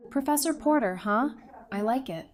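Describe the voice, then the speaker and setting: A woman's voice: high-pitched, expressive, normal speed. A woman as a playful, teasing young survivor. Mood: teasing, supportive.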